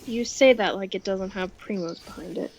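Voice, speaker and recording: A woman's voice: medium pitch, moderate pace, creaky, darkish tone, a little hiss or hum. A young woman streaming a game, chatting casually and exclaiming readily as she reacts to play. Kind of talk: casual co-op gameplay chat.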